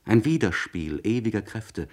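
An actor playing a troubled, introspective young aristocratic poet, speaking formally and eloquently in a literary radio monologue. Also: male voice, lowish voice, animated, moderate pace, darkish tone. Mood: wistful, fervent. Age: young adult. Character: troubled, introspective 26-year-old aristocratic English poet writing a letter. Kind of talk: literary radio monologue, letter read aloud.

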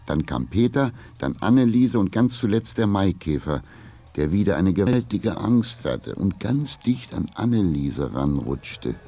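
A man narrating a children's radio play as a calm storyteller, reading a scripted adventure tale. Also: deep voice, measured pace, soft background music, full character voice. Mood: calm, vivid storytelling.

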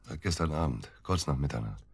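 An actor playing a disgruntled middle-aged provincial surgeon, grumbling and curt, in a naturalistic radio drama. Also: male voice, a bass voice, animated, brisk, slightly rough, dry recording, full character voice. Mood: weary, evasive. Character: disgruntled middle-aged provincial surgeon, worried about his missing wife.

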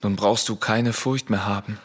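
Husky, low-voiced avenging son. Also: male voice, fairly even intonation, moderate pace. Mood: grimly satisfied. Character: resolute avenging son and brother, grave and determined. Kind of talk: classical tragedy radio acting.

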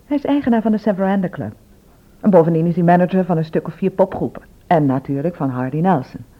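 Lively, frank woman on thin recording. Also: on the low side, quick pace. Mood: frank, concerned. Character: frank, sharp-tongued woman shopkeeper, helpful but wry. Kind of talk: radio drama acting. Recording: thin phone-like old radio recording.

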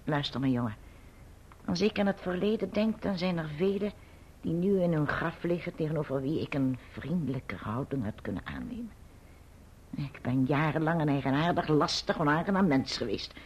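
A woman playing a grim but kindly old great-aunt in a radio play, speaking deliberately and admonishingly in a husky voice. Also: sits low, expressive, a little mellow. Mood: earnest, tender. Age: older. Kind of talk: Dutch radio play acting. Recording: dull, muffled radio recording.

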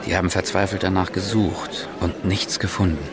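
A man's voice: sits low, little pitch movement, normal speed, crowd noise, natural voice. A man playing a composed thriller hero. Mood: tense. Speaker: Frank Teschemacher, lead character. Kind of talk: radio drama acting.

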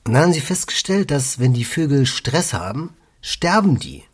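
A man playing an insistent expatriate explaining things over drinks, husky, in naturalistic radio drama. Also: medium pitch, sing-song, moderate pace. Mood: insistent, animated.

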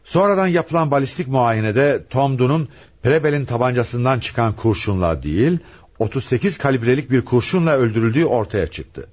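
A man's voice: medium pitch, expressive, fairly quick, husky. A man acting a commanding homicide commissioner in a radio play, with an emphatic, questioning, theatrical delivery. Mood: authoritative, revealing.

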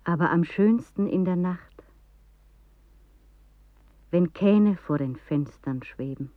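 An actress playing a dreamy woman in a poetic radio drama, reciting in a slow, hushed manner. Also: fairly low pitch, animated, no room sound. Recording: dry, narrow phone-like radio recording.

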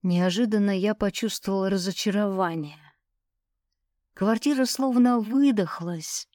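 A woman's voice: mid-range voice, a little slow, a little mellow, dry recording, studio quality. A woman reading a first-person novel aloud as an audiobook narrator.

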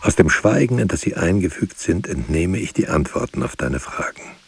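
An actor playing a grave, brooding writer, speaking solemnly in halting, deliberate phrases in a poetic radio play. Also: male voice, low voice, sing-song, creaky, background noise, heavily acted. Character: grave, brooding writer musing on words and silence. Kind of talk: poetic radio drama monologue.

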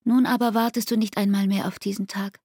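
Actress as wounded lover, soft and husky. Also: female voice, mid-range voice, steady tone, brisk, dry recording, clean studio sound, close to an everyday voice. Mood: hurt, reproachful. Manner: intimate, reproachful. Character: wounded, disillusioned woman reproaching her lover. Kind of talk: German radio play acting.

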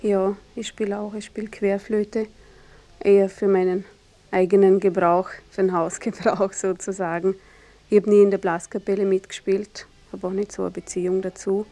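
An actress playing a modest, worried small-town wife with an Austrian accent, answering hesitantly and repeating herself in a documentary-style radio drama. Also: female voice, mid-range voice, level tone, normal speed, dark tone, lightly acted. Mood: modest, obliging. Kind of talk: naturalistic mock-documentary interview in radio drama. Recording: music playing in the room behind. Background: classical music on a radio.

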